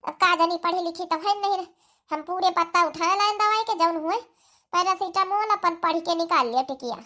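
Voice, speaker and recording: High, bright, fussing Awadhi village wife by a woman. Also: brisk. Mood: concerned, reassuring. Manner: chatty, reassuring. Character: fussing, devoted village daughter-in-law and wife. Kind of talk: Awadhi comedy cartoon dialogue.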